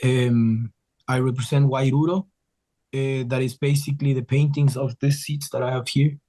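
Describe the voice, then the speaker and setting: A man's voice: mid-range voice, steady tone, moderate pace, dark tone. A man from Ecuador, a guest talking earnestly and in loose rambling sentences in a casual interview. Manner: earnest, rambling, with frequent restarts. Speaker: guest musician.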